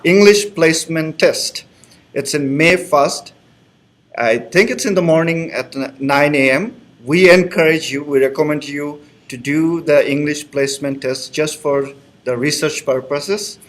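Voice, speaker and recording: A man's voice: a little high, unhurried. Male student advisor. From Bangladesh. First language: Bengali. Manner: friendly, repeats reassurances, loose grammar. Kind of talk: live online information session.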